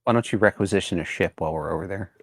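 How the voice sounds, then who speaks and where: A man playing a tabletop roleplaying game, improvising casually with a deadpan delivery. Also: sits low, racing, no room sound, studio quality.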